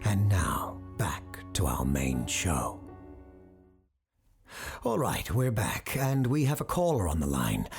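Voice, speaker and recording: Grave paranormal radio host played by a woman, very low-pitched, measured and solemn, music underneath. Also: very animated, a little mellow, full character voice. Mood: composed, formal. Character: Dr. Ryder, grave supernatural radio show host and storyteller. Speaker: host of a supernatural advice radio show. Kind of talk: scripted audio drama narration.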